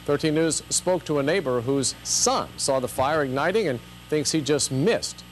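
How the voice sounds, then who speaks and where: A man's voice: a little high, normal speed, slight background noise, poor audio. A man with an American accent reading scripted television news as an anchor.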